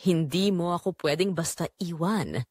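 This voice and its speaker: A woman's voice: fairly low pitch, normal speed, a little mellow, breathy edge, no room sound, studio quality, voice pushed down. A woman with a Filipino accent voicing a bitter, pleading mother in an audio drama. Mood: bitter, desperate.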